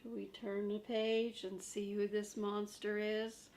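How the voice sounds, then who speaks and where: Grandma doing storytime, chatty w little kids, slow n creaky voice, simple gentle wording. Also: female voice, mid-range voice, flat delivery. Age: older, a grandmother. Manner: asks the listener questions, simple gentle phrasing. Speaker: grandmother reading to a child. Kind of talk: read-aloud with chatty asides to young listeners.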